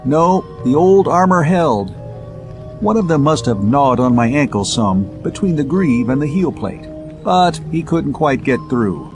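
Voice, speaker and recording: A man's voice: a little high, expressive, unhurried. A man voicing an unruffled space hero with a breezy, colloquial way of talking, over music. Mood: breezy, reassuring. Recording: music behind the voice.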